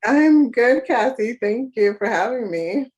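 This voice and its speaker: A woman's voice: a little high, lively intonation, measured pace, a little mellow, lo-fi sound. A woman from Ghana, a therapist, talking casually as a podcast guest and often adding "right?" along with fillers. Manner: talkative, often adds "right?" and trails off with fillers. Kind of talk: casual conversational interview.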